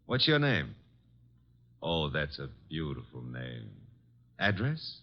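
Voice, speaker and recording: A man's voice: rumbling low, huge pitch swings, slow pace, full character voice. An actor playing a breezy, girl-smitten detective's assistant who narrates an old radio drama with wry teasing. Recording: muffled vintage radio broadcast.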